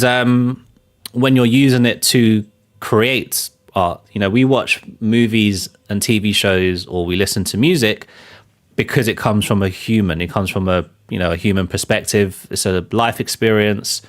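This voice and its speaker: Guy hosting a live movie chat, lowish voice, lots of ums and restarts. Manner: rambling, many fillers and restarts. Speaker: show host. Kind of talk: live casual commentary.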